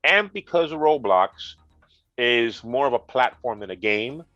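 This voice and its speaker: A congested man co-hosting a gaming news chat, making dry, deadpan jokes as he explains the news. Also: mid-range voice, a little slow, dry recording, highs cut off. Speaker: co-host, retro gaming specialist.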